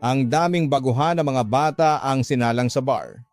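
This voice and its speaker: A man's voice: mid-range voice, moderate pace, darkish tone, dry recording, faint music behind, natural voice. A man playing a frank, earnest young working-class older brother who admonishes and confides in a radio drama. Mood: light, boastful.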